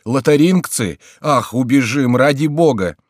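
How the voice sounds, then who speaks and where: A man's voice: medium pitch, expressive, unhurried, dry recording, studio quality, pitched above the performer's own voice. A man doing a nervous townsman crying out. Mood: alarmed. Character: nervous male townsman companion.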